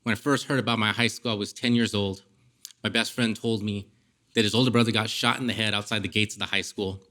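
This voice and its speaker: A man's voice: on the low side, steady tone, quick pace, bright, forceful tone. A man from Los Angeles giving a public lecture through vivid, dramatic personal storytelling. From East Los Angeles, United States. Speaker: guest lecturer, former pastor.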